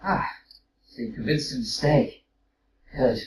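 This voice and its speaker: A man's voice: medium pitch, measured pace, a little mellow, close to an everyday voice. A man playing a brash, sarcastic robot captain in an audio drama, with a needling, argumentative delivery. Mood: wry. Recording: roomy, distant mic with faint noise.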